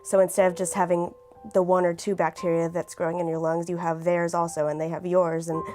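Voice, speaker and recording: Raspy 18 yr old girl, low flat voice, clears her throat a lot, soft music behind. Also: fairly quick. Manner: earnest and reflective. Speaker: interviewee in a documentary. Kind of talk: personal documentary testimony.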